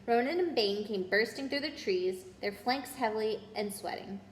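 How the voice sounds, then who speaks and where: High-voiced woman reading in an echoey room. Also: lively intonation, normal speed. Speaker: storyteller reading aloud. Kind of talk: expressive children's book narration. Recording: roomy echo with background noise.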